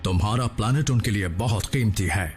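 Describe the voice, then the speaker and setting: A man voicing a wise, calm robot leader in a cartoon dub, speaking in a measured, grave way. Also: on the low side, faint music behind, lightly acted.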